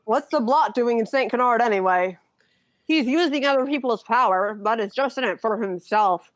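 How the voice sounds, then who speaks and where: A woman's voice: a little high, normal speed, no room sound, close to an everyday voice. A woman voicing a cocky, sly cartoon sidekick in a live comic dub. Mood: sly, challenging.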